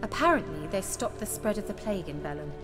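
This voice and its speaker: A woman's voice: medium pitch, expressive, quick pace, close to an everyday voice. Lady doing a posh-talking coy sorceress from a fantasy game, sly and teasing, long reverb, music behind. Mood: earnest, storytelling. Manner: articulate, theatrical, coy. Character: self-assured, coy sorceress, cultured and slyly teasing. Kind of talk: video game voice acting.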